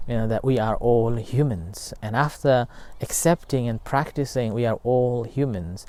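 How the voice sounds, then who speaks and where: A middle-aged man speaks as a meditation teacher in a warm, mellow voice. His comes slowly, full of pauses and restarts, as he reflects aloud. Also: mid-range voice. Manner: halting, many fillers and restarts. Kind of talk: unscripted dharma talk.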